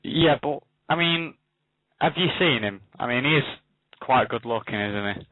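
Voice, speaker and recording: A British man calling in to a radio show to argue, repeating himself in a halting, indignant way. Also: mid-range voice, animated, no echo. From United Kingdom. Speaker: caller. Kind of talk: argumentative phone-in chat. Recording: dry narrow phone line.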